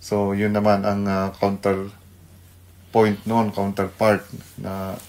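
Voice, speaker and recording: Man, Filipino accent, low voice, very slow pace, halting delivery, pastor hosting a radio devotional. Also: completely flat delivery, a little mellow. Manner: halting, searching for words. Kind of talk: devotional talk mixing Tagalog and English.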